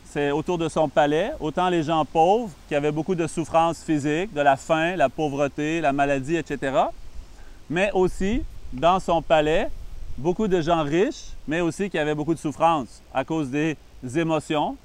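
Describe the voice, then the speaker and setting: Calm Québécois man, smooth clear voice. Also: fairly high pitch. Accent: Québécois French. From Canada. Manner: calm, patient explaining with frequent pauses. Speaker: Buddhist monk and meditation teacher. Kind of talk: introductory talk on meditation.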